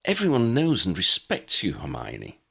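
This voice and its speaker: A confident, wry wizard from a storybook , acted out by a man. The voice drawls its emphasis and swoops widely, with some gravel in it. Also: low voice, huge pitch swings, normal speed, a bit bright, full character voice. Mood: enthusiastic. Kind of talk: storybook character acting.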